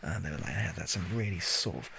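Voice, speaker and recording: A British man telling a rambling anecdote in a soft, breathy ASMR style, hesitant and wryly understated, close to the microphone. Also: sits low, animated, fast, slight background noise. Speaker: ASMR video creator. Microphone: handheld stereo recorder, close up.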